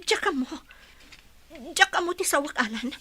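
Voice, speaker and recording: A woman's voice: high-pitched, lively intonation, a little slow, pushed and bright, a little hiss or hum, exaggerated voice. A woman with a Filipino accent playing a distressed, confused young bride-to-be in a melodramatic audio drama. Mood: bewildered, upset.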